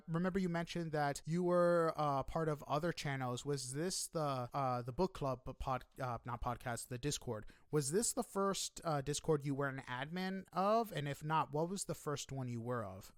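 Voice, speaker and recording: Guy doing a casual podcast interview, voice a bit high, chatty and kinda halting, really dry sound. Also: smooth, dry recording.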